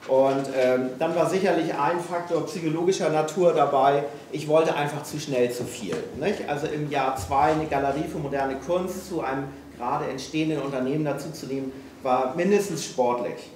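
A man's voice: fairly high pitch, moderate pace, few pauses, darkish tone. A middle-aged man from Hamburg, speaking frankly and with self-mockery to a live audience, full of hesitations. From Hamburg, Germany. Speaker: speaker at a failure-stories evening. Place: small event room with audience. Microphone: room PA, recorded from a distance. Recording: echoey room, distant mic, faint noise.

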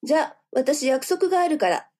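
A woman's voice: high voice, lively intonation, moderate pace, mellow. An actress playing a cool, teasing, self-assured senior businesswoman in a voice drama. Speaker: voice actor. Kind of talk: voice drama dialogue. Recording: music behind the voice.

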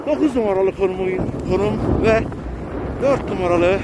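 Man mid-run on a ski slope, excited play-by-play, very high voice, loud wind noise over the mic. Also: moderate pace. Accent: Turkish. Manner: excited, sportscaster-like running commentary with repeated catchphrases. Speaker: ski vlogger. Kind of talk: live commentary while skiing. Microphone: action camera mic in the wind.

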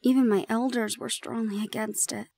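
A woman playing a shy, gentle young gorgon in an intimate audio roleplay, speaking softly and haltingly. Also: on the high side, fairly even intonation, vocal fry, no echo. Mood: reflective, confiding. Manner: soft, hesitant, halting.

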